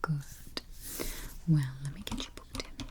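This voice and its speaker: A woman whispering softly as a travel agent in an ASMR roleplay, murmuring little mm-hmms between phrases, close to the mic. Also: sits low, animated, takes it slowly. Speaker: ASMR artist playing a spooky travel agent. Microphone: close sensitive mic. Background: keyboard typing and finger taps.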